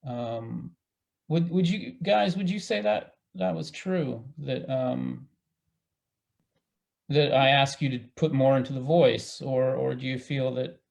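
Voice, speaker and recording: A man speaking as a theater director in a panel discussion, halting and thinking aloud as he goes. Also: mid-range voice, creaky, darkish tone. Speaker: artistic director of a radio play company. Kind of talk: panel discussion, casual talk.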